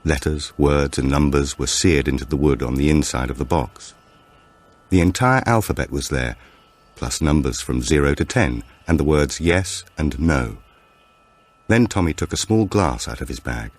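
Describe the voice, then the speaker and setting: Very deep-voiced male thriller narrator. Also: lively intonation, moderate pace. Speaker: audiobook narrator. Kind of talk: dramatic scripted thriller narration.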